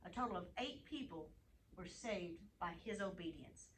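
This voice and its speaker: A woman hosting a children's Bible story time, animated as she reads and chats directly to young listeners. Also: on the low side, normal speed. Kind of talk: reading bedtime Bible stories to children, chatting with a puppet. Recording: distant mic, faint background noise.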